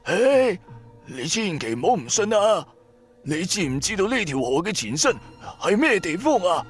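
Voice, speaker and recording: A man's voice: sits high, very animated. A man playing a shifty, theatrical fortune teller who poses as blind, drawing out his words mysteriously in a radio drama. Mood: insistent. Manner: theatrical, drawn-out, mock-mysterious. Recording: background music under voice. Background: music.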